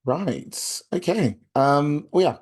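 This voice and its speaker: A young man with a hoarse voice from a chest infection, presenting a webinar over a video call in a halting, friendly way with many filler sounds. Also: fairly high pitch, expressive. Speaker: outreach coordinator.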